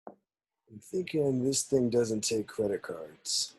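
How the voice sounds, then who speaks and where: A man at an amateur table read playing a breezy, easygoing college guy with a husky voice. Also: mid-range voice, expressive, slow pace, a little mellow.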